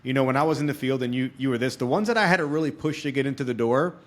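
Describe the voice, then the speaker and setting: A man's voice: medium pitch, racing. Talkative man hosting a podcast. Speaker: podcast host and experienced salesman. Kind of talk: conversational podcast interview.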